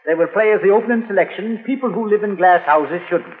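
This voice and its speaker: Man playing a high-pitched, bumbling old-time radio announcer. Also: fast, loud music behind the voice, close to an everyday voice. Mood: flustered, formal. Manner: stammering, self-correcting, pompous formality. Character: bumbling, flustered 1920s radio station announcer, parody. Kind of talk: vintage comedy radio sketch. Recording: tinny, reverberant early radio recording.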